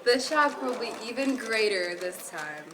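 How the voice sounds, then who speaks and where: Woman as earnest puppet participant running a shock test. Measured, slow amateur delivery, noisy recording. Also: fairly high pitch, lively intonation, far from the mic. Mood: polite, apologetic. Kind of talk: amateur puppet show acting. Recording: clear background noise.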